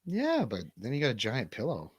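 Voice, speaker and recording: A man's voice: mid-range voice, wildly swooping pitch, brisk, breathy edge, dry recording, highs cut off. A man hosting a livestream, chatting casually in an informal, bantering way. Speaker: livestream host.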